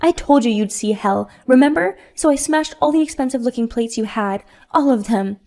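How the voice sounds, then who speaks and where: Spoiled bratty lady, as a woman puts her on, whiny n loud, a bit gravelly. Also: fairly high pitch, a little fast, close to an everyday voice. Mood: gloating. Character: spoiled, shrill entitled grown woman, bratty.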